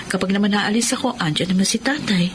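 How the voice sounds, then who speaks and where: Actress as a caring young aunt, loud ambience. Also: female voice, mid-range voice, quick pace, background noise, close to an everyday voice. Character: caring, earnest young aunt raising her sister's baby. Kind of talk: Filipino radio drama acting. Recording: radio drama with loud background ambience.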